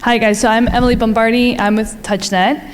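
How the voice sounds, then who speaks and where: A woman's voice: fairly high pitch, flat delivery, brisk, slight background noise. A young woman introducing herself at a conference, upbeat and casual. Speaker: vendor presenter. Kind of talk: conference presentation. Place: large conference hall. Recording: hall reverb.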